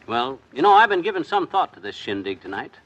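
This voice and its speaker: An actor playing a folksy, talkative middle-aged husband in a 1950s radio comedy. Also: male voice, a little high, sing-song, a bit bright, highs cut off. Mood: breezy. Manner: quick, bouncy, jovial delivery.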